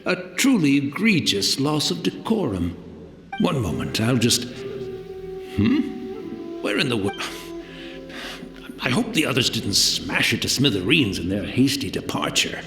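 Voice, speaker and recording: An actor playing a humble, rambling old servant in a video game, courteous and wistful in old-fashioned formal speech. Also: male voice, mid-range voice, wildly swooping pitch, unhurried, pauses often, pushed and bright, reverberant, soft background music, exaggerated voice. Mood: flustered, apologetic. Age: older.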